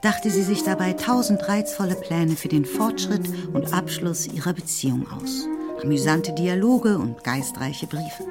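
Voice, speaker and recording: A woman's voice: fairly low pitch, unhurried, loud music behind the voice. A woman giving composed, scripted literary narration as the storyteller of a radio play.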